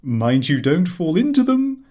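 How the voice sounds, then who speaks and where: A man's voice: on the high side, very animated, measured pace, dark tone, pitched above the performer's own voice. Man playing an earnest, fussy middle-aged clerk. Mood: pleased, genial. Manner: fussy, polite, eager. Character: earnest, deferential middle-aged male clerk, pleased with himself.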